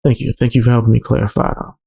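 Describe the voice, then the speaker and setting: A man with a low voice hosting a livestream, chatting in a laid-back way with viewers. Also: level tone, rapid-fire, creaky, mellow, a little hiss or hum. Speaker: livestream host. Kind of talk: casual livestream chat.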